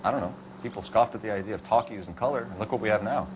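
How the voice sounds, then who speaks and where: An actor playing a brisk, authoritative 1940s police detective with an American accent in a video game. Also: male voice, fairly low pitch, noisy background, lightly acted. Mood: conversational. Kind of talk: video game cutscene acting, interrogation and partner banter.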